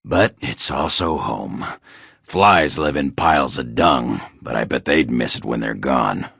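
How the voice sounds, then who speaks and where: A male actor playing a gruff, crude, hard-drinking dwarf warrior in a video game, cranky and boastful, with a growling, sardonic, blustering delivery. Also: rumbling low, bright, forceful tone, no room sound, clean studio sound, full character voice. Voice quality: gravelly, growling rasp. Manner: blustering, earthy swearing, sardonic. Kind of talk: video game character acting.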